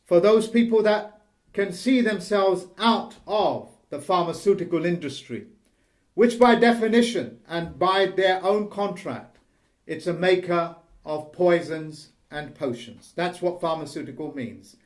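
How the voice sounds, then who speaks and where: A man with a somewhat high voice talks at a slow, deliberate pace. He hosts the program and delivers his points emphatically, leaning hard on each phrase. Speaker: host. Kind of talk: emphatic monologue.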